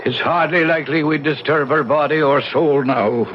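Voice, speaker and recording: Actor as a troubled gentleman, lightish voice, halting. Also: fairly high pitch, fairly even intonation, close to an everyday voice. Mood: uneasy politeness. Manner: halting, repeats words. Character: troubled, polite grieving man, anxious and God-fearing. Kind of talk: old-time radio drama acting. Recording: muffled, noisy old radio recording.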